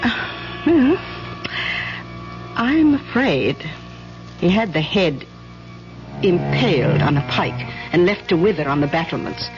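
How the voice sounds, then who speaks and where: An actress playing a chatty, genteel guide who hesitates and gossips through a ghost legend in a 1970s radio drama. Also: female voice, on the low side, very animated, slow pace, pauses often, music in the background, exaggerated voice. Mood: gossipy, relishing. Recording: dull old radio recording.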